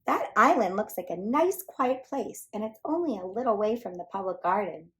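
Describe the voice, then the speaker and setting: A woman's voice: mid-range voice, expressive, moderate pace, a bit bright. An agreeable father mallard from a picture book, voiced by a woman reader. He speaks politely, with a courteous and upbeat manner. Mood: pleased.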